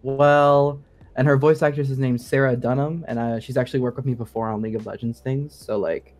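A man's voice: mid-range voice, steady tone, a little fast, darkish tone. A man chatting casually as a guest sound designer on a gaming show, very informal and peppering talk with "like". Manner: informal, frequent "like" and quoted asides. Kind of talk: casual interview chat.

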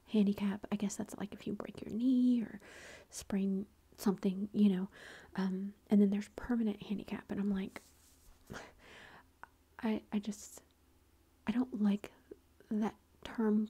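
A woman whispering through a long personal story, with many fillers and quoted lines of dialogue. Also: medium pitch. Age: adult with a son. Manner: whispering, soft and breathy. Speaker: vlogger.

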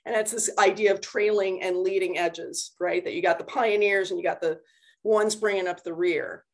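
A woman's voice: medium pitch, steady tone, moderate pace. A woman giving an informal lecture over a video call, conversational and explaining things with everyday analogies. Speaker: university lecturer giving a talk. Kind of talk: informal slide lecture and answers. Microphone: video call computer microphone.

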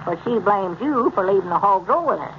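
An actor playing an evasive old country storekeeper in 1930s radio comedy, stammering in a Southern American rustic dialect. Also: male voice, high voice, lively intonation, brisk, creaky. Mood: defensive, explaining. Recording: muffled, noisy old radio broadcast.

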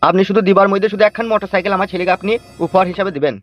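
Guy doing a pushy village dad in a cartoon, high voice, talks fast, dull audio with music. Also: natural voice. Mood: chatty, pushy. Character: brash, pushy village father of groom, comic cartoon. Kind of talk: comic cartoon dialogue in rural speech.

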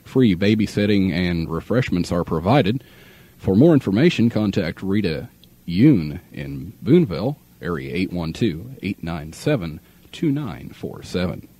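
A young American man with a low, mellow voice announces on local radio. His delivery is measured and formal, slightly husky, as heard on an old muffled aircheck tape. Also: very animated. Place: radio studio.

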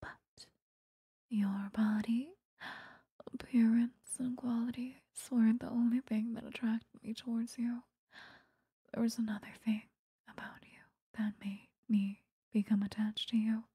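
A woman voicing an obsessive, possessive vampire stalker in an intimate, breathy ASMR roleplay whisper, close to the microphone. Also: a little high, flat delivery, mellow, no room sound. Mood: adoring, obsessive. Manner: slow, intimate, lingering delivery.

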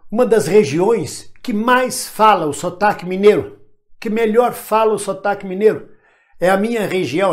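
An older man from Minas Gerais talks straight into the camera from his home, emphatic and proud. His voice sits a little high and swings widely in pitch. He sounds like a seasoned actor making his case. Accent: Brazilian Portuguese, national standard. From Minas Gerais, Brazil. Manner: emphatic, proud, defensive, lively. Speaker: actor addressing followers. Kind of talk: direct-to-camera monologue. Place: home room with bookshelf, indoors.

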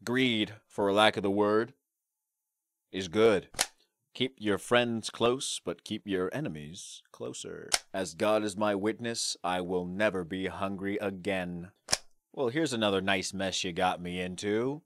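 A young man performing classic movie characters in short, deadpan, theatrical one-liners. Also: lowish voice, a little slow. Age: young adult. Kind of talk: amateur impressions of film quotes. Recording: clearly noisy background with clicks.

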